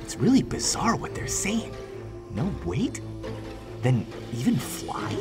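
A man acting a bewildered, incredulous royal knight in an animated fairy tale, with music behind his voice. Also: fairly high pitch, slow pace, heavily acted. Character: bewildered male royal knight, homeless traveller. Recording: voice over loud background music.

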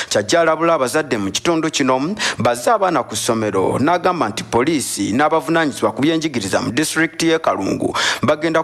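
Fast-talking Ugandan male radio reporter. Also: mid-range voice, fairly bright tone. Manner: rapid formal reporting. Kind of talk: scripted field report.

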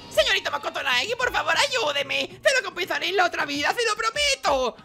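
A man's voice: extremely high pitch, very animated, fairly quick, bright, forceful tone, soft background music, voice pushed up, exaggerated voice. A man voicing a cowardly nerdy teenage boy, whiny, stammering and overdramatic. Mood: panicked pleading.

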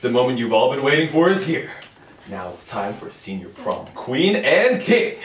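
Young man playing swooping, excitable prom DJ announcer. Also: medium pitch, moderate pace, close to an everyday voice. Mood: hyped announcing. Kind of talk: hyped announcing at a script read-through. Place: home dining room. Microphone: far camera mic. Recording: echoey room, distant mic.

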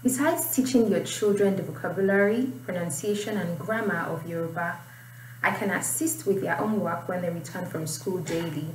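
A woman's voice: fairly low pitch, normal speed. A young adult woman with a Nigerian accent, teaching in an informal tutorial style. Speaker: IELTS writing teacher. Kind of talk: informal tutorial, explaining and reading aloud. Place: small indoor room. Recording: roomy, distant mic, faint noise.

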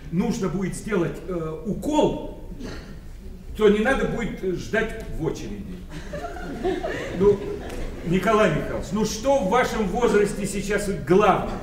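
An actor playing a jovial, pushy middle-aged son-in-law in a radio play, coaxing and flattering with endless rhetorical questions. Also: male voice, high voice. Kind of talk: Soviet-era radio play acting. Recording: roomy, distant, faintly noisy radio play.

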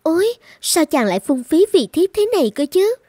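High-pitched young demon wife. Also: female voice, very animated, brisk, mellow, no room sound, clean studio sound. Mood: demure, coaxing. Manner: demure, coaxing, theatrical. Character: sweet young wife, secretly a gluttonous two-mouthed female demon. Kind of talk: animated folktale acting.

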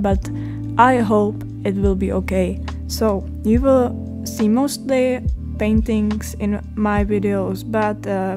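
A young woman from the Czech Republic with a Czech accent, narrating her art vlog in a halting way, with many fillers and restarts. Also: mid-range voice. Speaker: art vlogger. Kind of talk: voice-over narration.

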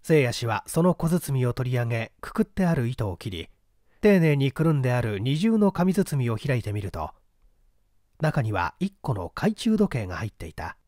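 A man's voice: medium pitch, very animated, moderate pace, darkish tone, no echo, studio quality. A man narrating a literary story as an audiobook reader, in a scripted style.

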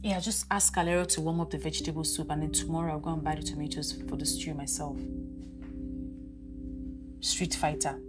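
A woman's voice: low-pitched, steady tone, a little fast, pauses often, over music, lightly acted. A woman playing a stern, indignant mother scolding her younger sister in a film drama. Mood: firm.

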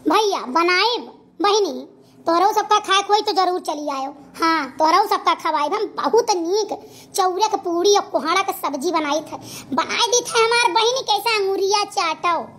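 A woman's voice: very high-pitched, unhurried, a bit bright. A woman voicing a stout, scheming middle-aged village woman with a nasal, wailing manner in a cartoon. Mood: boastful. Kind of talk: cartoon voice acting.

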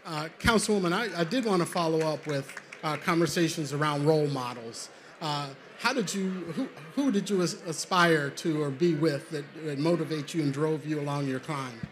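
A man's voice: fairly high pitch, moderate pace, a bit bright, a little hiss or hum. A man moderating a panel discussion on stage, offering brief, affirming responses and posing questions into a handheld mic. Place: conference stage, indoors.

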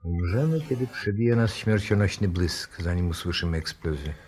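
An actor playing a grim, fatalistic professor in a 1960s radio drama, deliberate and ominous, moving between hushed and forceful delivery. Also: male voice, deep voice, expressive, crowd noise. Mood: grim, challenging. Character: grim, fatalistic male professor calmly awaiting a deadly explosion. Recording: noisy old radio drama recording.

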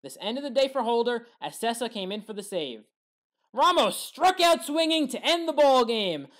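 A woman giving scripted play-by-play recap commentary over sports highlights. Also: expressive, moderate pace. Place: voice-over recorded apart from the game.

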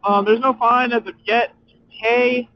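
A young man with a notably high voice rambles casually to viewers while riding his motorcycle. He is excitable and sweary, full of slang. The sound comes through a dull helmet intercom mic, with motorcycle noise behind him. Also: measured pace. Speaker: motorcycle vlogger. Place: outdoors, riding a motorcycle at night. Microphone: helmet communicator mic inside helmet.

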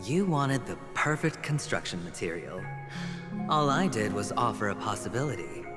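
An actor playing a smooth, sly gambler held prisoner in a video game, theatrical and self-assured. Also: male voice, on the low side, expressive, normal speed, close to an everyday voice. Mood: smug. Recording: reverberant, with music underneath.

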